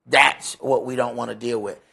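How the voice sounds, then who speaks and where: An American man leading a Bible study, preaching off the cuff in an emphatic way with repeated phrases and rhetorical questions, his voice rough and raspy. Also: medium pitch, fairly even intonation, moderate pace, pushed and bright. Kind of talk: sermon, extemporaneous teaching.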